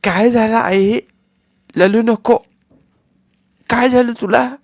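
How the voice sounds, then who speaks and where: A man's voice: very high-pitched, steady tone, slow, no room sound, clean studio sound, pitched above the performer's own voice, heavily acted. A man voicing a small tearful boy who lisps, his r sounds coming out as l, in a storybook reading. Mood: pleading, tearful. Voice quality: childish lisp, r turned to l.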